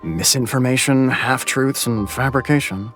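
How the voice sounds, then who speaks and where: A man's voice: mid-range voice, moderate pace, fairly bright tone, faint music behind, lightly acted. A man voicing a smug, theatrical, sarcastic clansman in a video game, with a grandiose, mocking delivery. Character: smug, theatrical, sarcastic male clan member. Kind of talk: video game character acting.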